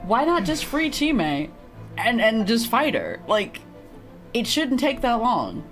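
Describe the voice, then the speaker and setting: A woman's voice: fairly high pitch, wildly swooping pitch, slow. A woman streamer giving blunt, sarcastic, casual commentary on a game. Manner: blunt, sarcastic, swearing, giggly. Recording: faint game music behind.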